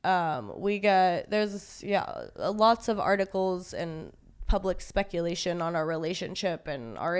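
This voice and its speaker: Clear-voiced young woman, breezy and candid. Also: on the low side. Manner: casual, many fillers, laughs things off. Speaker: podcast guest. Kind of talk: candid conversational interview.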